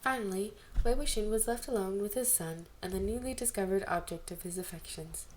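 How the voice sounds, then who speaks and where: A woman narrating fan fiction aloud in an expressive, amateur storytelling style. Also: mid-range voice, brisk, low-quality recording. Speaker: amateur podfic reader. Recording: amateur home recording, background noise.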